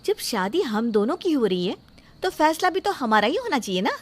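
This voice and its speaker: A woman's voice: fairly high pitch, very animated, normal speed. A woman playing an outspoken, self-respecting young bride-to-be in a story drama, speaking firmly and indignantly. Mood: defiant, proud. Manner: firm, indignant, emphatic. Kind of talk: dramatised Hindi moral story acting.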